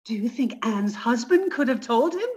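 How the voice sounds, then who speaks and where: Female actor, scheming adulterous wife, worried, urgent, high pitch, swooping intonation, old-time radio melodrama spoof. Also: expressive, slow, darkish tone. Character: anxious, scheming adulterous wife in murder farce. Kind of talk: old-time radio comedy mystery acting.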